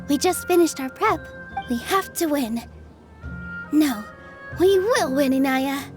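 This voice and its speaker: A woman voicing a gentle, earnest young dancer with a soft, sincere delivery in a video game. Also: sits high, expressive, slow, over music. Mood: determined.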